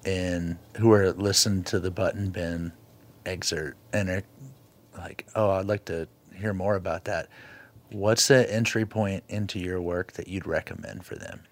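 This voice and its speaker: A man's voice: low-pitched, steady tone, unhurried. A man hosting a public radio program, moving between interview questions and scripted narration. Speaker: radio host.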